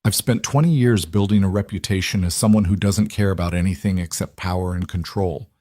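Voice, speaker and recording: A man narrating plays a brooding, controlled mafia boss in a romance audiobook. He speaks low, in grave, measured declarations, on a dry recording. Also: mellow, dry recording. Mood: grave, restrained. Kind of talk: dramatised audiobook dialogue.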